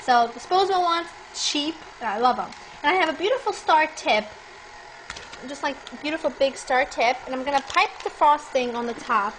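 A young woman hosting a cooking demonstration in her home kitchen, chatting brightly and speaking straight to her viewers. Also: high voice, slight background noise, rough recording. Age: young adult. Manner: bubbly, chatty, addresses viewers directly. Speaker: cooking show host. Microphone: lapel mic.

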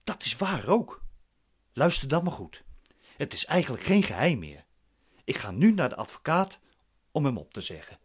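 A man's voice: fairly high pitch, very animated, normal speed, long pauses, slightly rough. A man playing a proud, impatient accused man in a radio drama, curt and formal in manner. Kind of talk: radio drama acting in Dutch.

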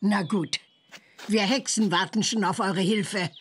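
Raspy, stern old witch. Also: medium pitch, a little slow. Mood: suspicious. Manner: brusque, scolding. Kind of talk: cartoon game voice acting.

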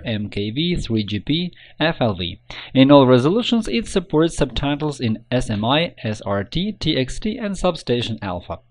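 A man delivers scripted tutorial narration. His pitch sits in the middle and moves up and down a lot as he sets out each step in an instructional way.

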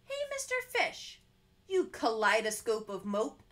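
A woman voicing a squirmy, polite female squid who sweetly pleads in a squeaky voice in a storybook reading. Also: huge pitch swings, a little slow, fairly bright tone, full character voice. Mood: chirpy.

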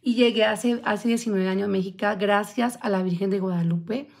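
A woman's voice: medium pitch, fairly even intonation, moderate pace, darkish tone. A woman in her forties chatting casually on a live stream from a small room at home, answering viewer comments between her thoughts. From Colombia. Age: 40s. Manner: chatty, answers viewer comments as she talks, many fillers. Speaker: vlogger. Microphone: phone camera close up.

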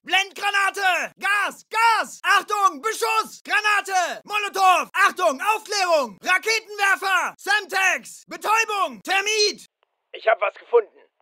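Hoch schreienden, drängenden Soldaten. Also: male voice, extremely high pitch, a bit bright, no echo, clean studio sound, full character voice. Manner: clipped, commanding, urgent. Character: alert male soldier barking urgent battlefield callouts. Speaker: voice actor in a dubbed military shooter. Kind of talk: military combat callouts, short barked lines.

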